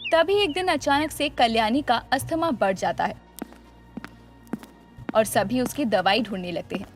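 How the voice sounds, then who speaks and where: A woman narrating a scripted moral story as its storyteller. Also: a little high.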